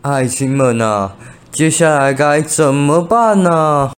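A man's voice: on the high side, slow, clear, resonant tone. A young man with a Taiwanese Mandarin accent playing a doting, indecisive king in a student radio drama. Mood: troubled. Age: young adult. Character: old-time king, doting father, indecisive ruler. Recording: music bed behind voice.

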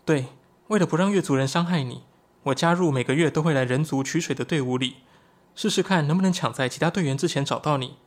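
An actor playing an earnest, excitable young shapeshifting tribesman in a Chinese audio drama, explaining things expressively. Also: on the high side, quick pace, lightly acted.

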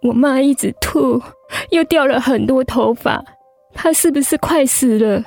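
A woman's voice: high-pitched, a little slow, gravelly, a little mellow, faint music behind, full character voice. An actress playing a scared, trembling schoolgirl in a radio drama. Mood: tearful pleading. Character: scared schoolgirl, trembling, worried for her mother.

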